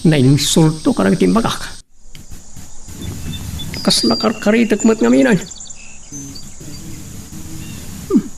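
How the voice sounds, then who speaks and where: Casting: male actor for shifty servant henchman, high-pitched gravelly voice, audio drama, some background noise. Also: expressive, normal speed, exaggerated voice. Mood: brooding, resentful. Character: sly, cringing male henchman to rich family. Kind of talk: Ilocano radio-style audio drama acting.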